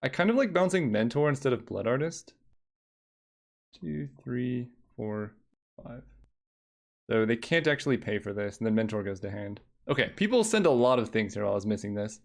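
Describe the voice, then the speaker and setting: A man's voice: mid-range voice, animated, moderate pace, vocal fry. A young man streaming a card game, talking casually in a relaxing voice, thinking aloud and counting under his breath.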